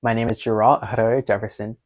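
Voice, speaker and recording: A man's voice: on the low side, little pitch movement, fast talker. A teenage young man from Miami, Florida, reading a scripted introduction as a student intern. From Miami, Florida, United States. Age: teens. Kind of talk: scripted narration.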